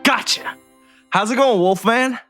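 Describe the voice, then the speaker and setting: A man voicing a playful, teasing invisible man in an audio drama, excitable and chummy. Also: very high-pitched, a little slow, faint music behind, voice pushed up, full character voice. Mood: boisterous, chummy. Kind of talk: audio drama table read.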